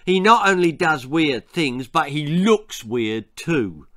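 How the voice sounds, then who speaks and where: A man's voice: on the high side, sing-song, unhurried, fairly bright tone, no echo, studio quality, voice pushed up. A man voicing a cruel schoolboy bully in a storybook, with a cold, jeering manner. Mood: sneering.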